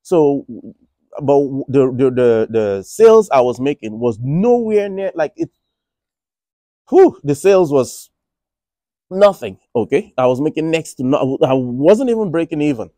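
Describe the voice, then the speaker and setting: A man's voice: fairly high pitch, big pitch swings, unhurried, dark tone, no echo, clean studio sound. A man with a Nigerian accent, talking casually about his money and trading, rambling with frequent restarts. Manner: rambling, many restarts and fillers. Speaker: finance vlogger. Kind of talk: casual monologue. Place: Republic of Ireland.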